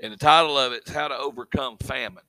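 A man preaching a sermon, describing his own voice as monotone, often repeating words as he restarts. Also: medium pitch, fast, no room sound, poor audio. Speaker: pastor.

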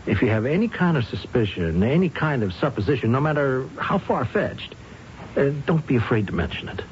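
An actor playing a patient, methodical police lieutenant who questions people politely and hesitantly in an old radio drama. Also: male voice, mid-range voice, very animated, brisk, lightly acted. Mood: patient, measured. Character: unhurried, probing male police lieutenant questioning a suspect. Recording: noisy, muffled old radio recording.

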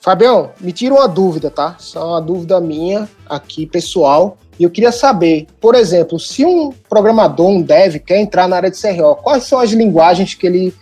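Brazilian Northeastern male host, mellow tone, lively and explanatory. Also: sits high, a little fast, few pauses, husky, faint music behind. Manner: upbeat, explains step by step and prompts guests. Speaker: podcast host. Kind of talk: casual podcast chat.

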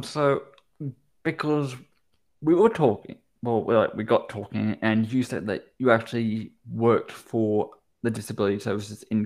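A man's voice: medium pitch, vocal fry. A young man hosting a casual podcast interview, talking hesitantly with many restarts. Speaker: podcast host.

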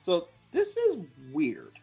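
Husky-voiced man hosting a podcast. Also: sits high, huge pitch swings, slow pace, dark tone, dull audio, faint music behind. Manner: rambling, crude joking, reads out stats with fillers. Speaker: podcast host. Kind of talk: casual podcast chat.

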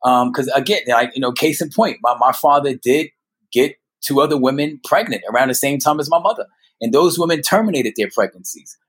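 A man in his fifties telling personal stories as a podcast guest, speaking earnestly and haltingly, repeating words for emphasis. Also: medium pitch, slightly rough. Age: 50s. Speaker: guest storyteller. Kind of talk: personal storytelling in a conversational interview.

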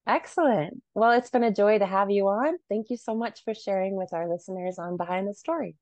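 A woman co-hosting a book podcast, wrapping up the show with a steady scripted-sounding sign-off. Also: medium pitch, brisk, no room sound. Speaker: podcast co-host. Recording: dry, dull lo-fi mic.

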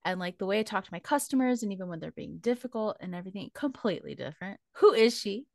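A woman in her late twenties shares stories as a podcast co-host. Her mid-pitched voice is recorded close and dry. She tells things animatedly and laughs often between phrases. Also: animated, fast talker, vocal fry, darkish tone, no echo. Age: late 20s. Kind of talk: casual chat.

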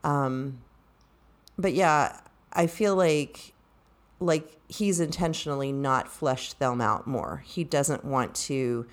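A woman's voice: very deep voice, takes it slowly. A woman co-hosting a book discussion, thinking aloud in a casual, reflective way.

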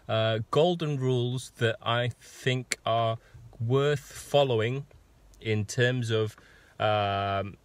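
A hoarse man with a husky voice and a sore throat, a fitness coach talking casually to viewers with many pauses and ums. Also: medium pitch, a bit bright. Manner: rambling, with many ums and restarts. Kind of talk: casual live video talk.

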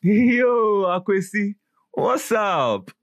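A man's voice: high voice, lively intonation, very slow, exaggerated voice. A man with a Ghanaian accent playing a breezy, boastful rich young friend in an audio drama, talking in a jokey, mocking way. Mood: cheerful, boastful.

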